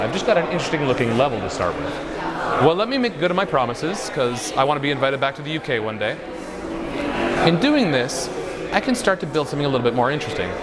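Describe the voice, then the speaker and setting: A man giving a live product demonstration in an upbeat, playful showman's manner. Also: mid-range voice. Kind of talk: live stage demo presentation. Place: busy trade show floor. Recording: loud crowd noise behind. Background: convention crowd.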